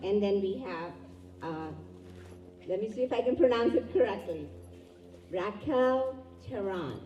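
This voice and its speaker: A woman whose native language is Urdu, speaking as an educator and emcee at a community event, giving a measured, formal welcoming speech to an audience. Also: mid-range voice, expressive, muffled recording, slight background noise. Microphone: distant room mic. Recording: echoey hall, voice far from mic.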